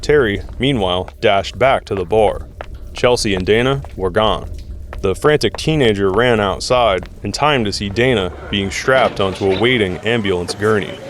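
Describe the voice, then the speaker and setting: A man's voice: lowish voice, moderate pace, a little hiss or hum. A man reading dramatic scripted narration for an action audio drama.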